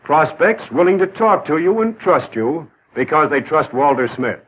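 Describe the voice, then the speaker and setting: An actor playing a confident, encouraging mentor, coaching a salesman in emphatic, measured phrases in the style of 1940s training film narration. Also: a little high, very animated. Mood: reassuring, coaching. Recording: narrow-band 1940s film soundtrack with music.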